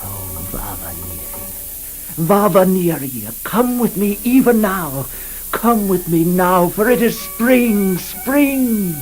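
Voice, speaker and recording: A man's voice: high voice, very animated, slow, darkish tone, soft background music, exaggerated voice, rough recording. An actor playing an ardent old king recounting a dream of lost love, lyrical and declamatory, in poetic radio drama style. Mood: impassioned, yearning.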